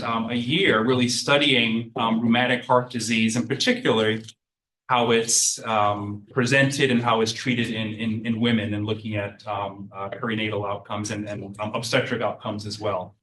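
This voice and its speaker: A man giving halting opening remarks at an academic talk, with many ums and uhs. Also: medium pitch, fairly bright tone, roomy sound, a little hiss or hum, poor audio. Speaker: event host. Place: university seminar room.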